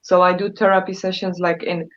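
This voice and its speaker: A woman's voice: fairly low pitch, flat delivery, fairly quick. Polish woman on muffled call audio. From Poland. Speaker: guest, therapist. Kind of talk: casual conversation.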